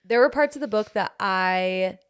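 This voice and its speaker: A woman hosting a relaxed interview conversation. She asks friendly follow-up questions and chimes in often with quick agreement. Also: medium pitch, clear, resonant tone. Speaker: podcast host. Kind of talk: casual interview chat.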